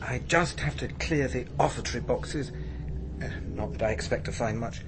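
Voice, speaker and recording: An actor playing a mild, courteous, slightly hesitant parish priest in a radio drama. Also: male voice, medium pitch, moderate pace, noisy background, lightly acted. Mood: courteous, fussy. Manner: polite, hesitant.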